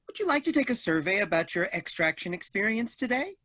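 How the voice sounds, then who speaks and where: A man's voice: high voice, big pitch swings, fast, sharp tone, heavily acted. A man acting a polite but menacing robot in a staged play reading, making formal, mock-helpful announcements. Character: polite, menacing male robot in a boxy body.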